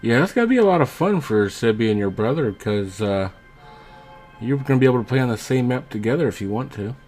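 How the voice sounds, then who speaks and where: A man's voice: mid-range voice, animated, quick pace, darkish tone, soft background music. A man co-hosting a podcast, reacting and bantering casually. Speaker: podcast co-host.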